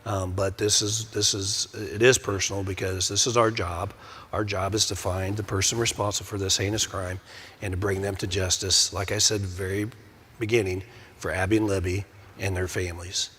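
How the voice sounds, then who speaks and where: A man with a raspy voice, a police sergeant answering interview questions with many pauses and restarts. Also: deep voice, flat delivery, a bit bright. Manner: halting, many ums and restarts.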